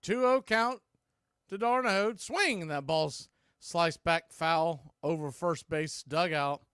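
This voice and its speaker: A man's voice: fairly high pitch, slow pace, a bit bright, smooth, no room sound, clean studio sound. A man calling live baseball play-by-play for radio in clipped, punchy phrases. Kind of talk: live radio sports commentary.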